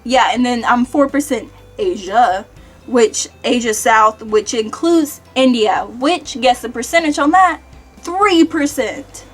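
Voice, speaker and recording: A young American woman chatting casually to viewers in an animated, self-deprecating way, like a vlogger. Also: a little high, slow pace. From Kentucky, United States. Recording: music behind the voice.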